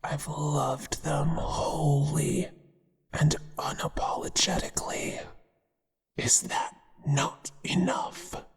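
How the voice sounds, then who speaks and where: Slow whispering spider monster lover. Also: mid-range voice, little pitch movement, heavily acted. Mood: possessive, pleading. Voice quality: breathy, hushed whisper. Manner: slow, crooning, deliberate. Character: possessive male spider monster, devoted, menacing lover. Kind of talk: whispered ASMR roleplay acting. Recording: long reverberant echo.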